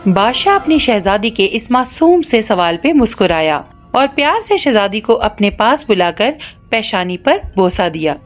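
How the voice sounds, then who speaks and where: A woman narrating a scripted children's cartoon story in an expressive storytelling manner. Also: fairly high pitch, normal speed, soft background music.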